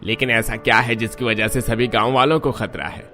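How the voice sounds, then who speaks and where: A man's voice: medium pitch, fairly even intonation, a little fast, pushed and bright, soft background music, natural voice. A man playing an authoritative village headman addressing people in a cartoon moral story. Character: village headman, authoritative and agreeable community leader.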